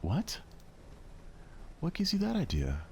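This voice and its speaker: A man's voice: on the high side, huge pitch swings, slow, gravelly, slight background noise, pitched above the performer's own voice, heavily acted. A man voicing a prickly, defensive, easily flustered young girl with a curt, hesitant way of talking. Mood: indignant.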